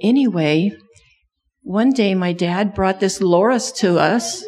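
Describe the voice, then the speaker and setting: A woman's voice: medium pitch, takes it slowly, darkish tone. A middle-aged woman who grew up in Thailand and speaks Thai natively, telling a children's sermon story and asking the kids many questions.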